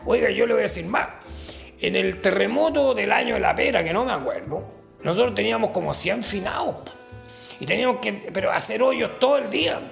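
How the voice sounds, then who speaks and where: A man's voice: high-pitched, very animated, brisk, gravelly, fairly bright tone, soft background music, exaggerated voice. An actor playing a rascally old Chilean working man and former gravedigger, tipsy, with a Chilean accent, telling folksy slangy boasts. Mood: boastful. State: tipsy from drinking. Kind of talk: comic character interview.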